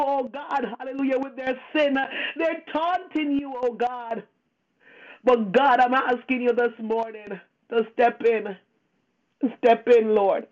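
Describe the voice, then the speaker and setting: A prayer leader praying fervently and off the cuff, pleading and emphatic, with repeated invocations and a tearful edge. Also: sits high. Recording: dull lo-fi radio-like phone line.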